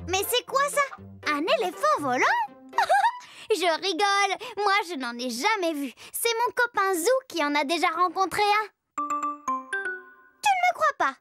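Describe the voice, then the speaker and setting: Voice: very high voice, very animated, measured pace, pauses often, sharp tone, soft background music, exaggerated voice. A performer voicing a playful little child who tells a children's cartoon story in chatty, exclaiming French.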